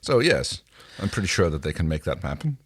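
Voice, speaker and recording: A man with a fairly low voice, recorded dry and close. He is a native Dutch speaker giving casual episode commentary as a podcast co-host. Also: sing-song, a little fast, slightly rough, no echo.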